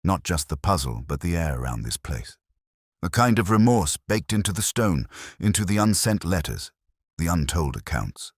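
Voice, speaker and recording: A man playing a brooding, observant detective inspector, narrating a mystery story in a measured, reflective, literary way with deliberate pauses. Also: sits low, very animated, no echo, clean studio sound. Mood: pensive, melancholy. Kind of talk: scripted first-person detective story narration.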